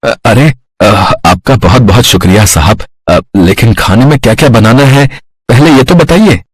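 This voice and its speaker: A man's voice: medium pitch, normal speed, breathy edge, no room sound, lightly acted. A man playing a kindly, humble village husband and father in cartoon story dialogue. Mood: humble, polite.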